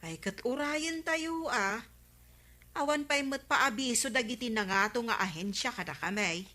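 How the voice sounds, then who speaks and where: A woman's voice: on the high side, animated, normal speed, sharp tone. A woman playing a chatty, inquisitive village friend in an Ilocano radio comedy drama, with an Ilocano accent and a teasing, exclaiming manner. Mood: placating.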